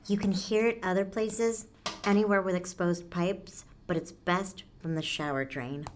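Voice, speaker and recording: An actress playing a casual, guarded tenant in a naturalistic scripted podcast drama, speaking tersely and matter-of-factly. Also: female voice, medium pitch, fairly even intonation, a little slow, a little hiss or hum, close to an everyday voice. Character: casual, guarded woman tenant devoted to a mysterious hole.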